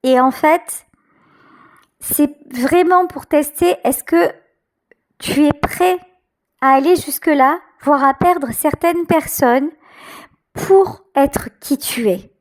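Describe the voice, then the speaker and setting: A woman giving an intuitive tarot reading to her viewers, often pausing and searching for her words. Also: high voice. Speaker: tarot reader, channel host.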